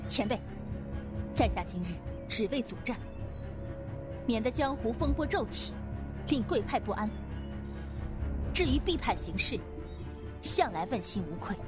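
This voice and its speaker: A woman's voice: a little high, very animated, a little slow, pauses often, music in the background. A woman playing a young, principled swordswoman who speaks politely, formally and earnestly in a wuxia radio drama. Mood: firm, courteous. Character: courteous, principled, bold young female swordswoman healer.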